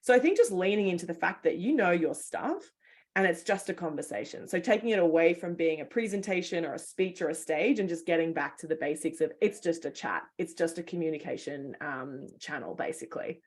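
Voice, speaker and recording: A woman hosts a webinar in a relaxed, chatty manner. She speaks English as her first language, her voice sits fairly low, and she often uses fillers. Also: a little fast. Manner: chatty, frequent "like" and "um". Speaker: webinar host. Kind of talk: casual webinar chat.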